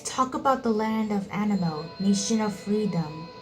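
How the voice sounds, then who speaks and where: A woman's voice: mid-range voice, expressive, moderate pace, a little mellow, faint music behind. A woman gamer reading dialogue choices aloud during a playthrough. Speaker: gameplay streamer.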